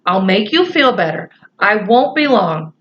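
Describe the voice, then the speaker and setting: A woman voicing an earnest, anxious young boy who speaks urgently and a little higher than her own voice. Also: sing-song, unhurried, fairly bright tone, highs cut off. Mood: soothing.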